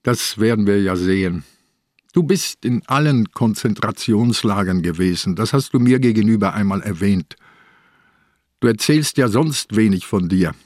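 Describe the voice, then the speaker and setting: Old, probing police commissioner. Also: male voice, lowish voice, expressive, moderate pace, a little mellow, no echo, clean studio sound. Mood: probing, dry. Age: older. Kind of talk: German radio crime drama acting.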